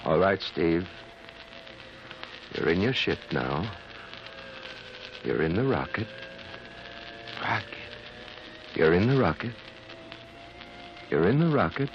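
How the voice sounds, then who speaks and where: An actor playing a calm, commanding scientist in a 1950s radio drama, with a measured, authoritative and clinical manner. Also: male voice, low voice, over music, full character voice. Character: calm, commanding male scientist running a mind-probe experiment. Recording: muffled, noisy old radio recording.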